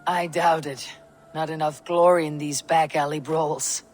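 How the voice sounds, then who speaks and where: Tough viking warrior woman, husky n measured, dry wit, game cutscene with music behind. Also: sits low, little pitch movement, darkish tone. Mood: resolute. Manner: measured, declarative, wry. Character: battle-hardened adult female Viking warrior, steadfast and dry. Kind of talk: dramatic video game acting.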